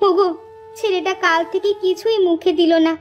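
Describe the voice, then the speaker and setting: A woman's voice: extremely high pitch, fairly even intonation, normal speed, muffled recording. A woman playing a doting, worrying village mother and wife in a cartoon, fretful, pleading and coaxing. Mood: concerned, coaxing. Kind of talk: cartoon story dialogue.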